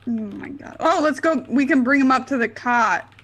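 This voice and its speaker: A woman's voice: sits high, animated, moderate pace, a bit bright. A woman playing a tabletop game, joking playfully with the group. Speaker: player.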